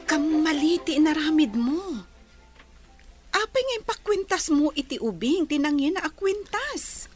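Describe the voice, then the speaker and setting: Scolding kinswoman, Ilocano accent, disapproving, high and animated, soft music behind, radio drama. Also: a little slow. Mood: reproachful. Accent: Filipino, Ilocano.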